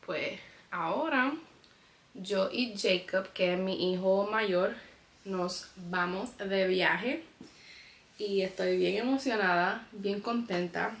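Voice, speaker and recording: A woman's voice: medium pitch, slow. Chatty Bronx-born woman. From New York, the Bronx. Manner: chatty, mixes English words into her Spanish. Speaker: family vlogger. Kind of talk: casual vlog chat to camera. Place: at home, packing a suitcase.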